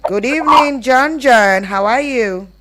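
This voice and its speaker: A woman's voice: a little high, unhurried, a loud crowd. A woman with a Nigerian accent, hosting a live radio phone-in show.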